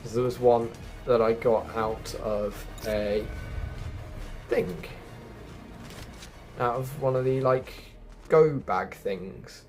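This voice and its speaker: A man's voice: mid-range voice, slow, long pauses, darkish tone, soft background music. A man giving a casual, chatty gameplay walkthrough, explaining things directly to viewers as a video host.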